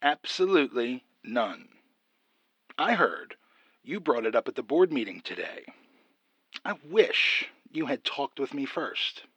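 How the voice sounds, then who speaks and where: A man's voice: mid-range voice, big pitch swings, a little slow, long pauses, a bit bright, no echo, exaggerated voice. A man playing a smarmy sweet-talking doctor. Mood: sweetly reproachful. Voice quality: smooth, ingratiating. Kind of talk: audio drama acting.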